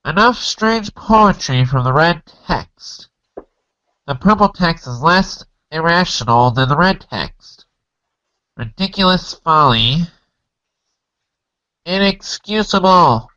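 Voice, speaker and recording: A man's voice: fairly high pitch, animated, slow pace, pauses often, pushed and bright, lo-fi sound, full character voice. A man voicing a haughty, exasperated commander who barks stilted, declamatory orders in a dramatic comic reading. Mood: curt, disdainful.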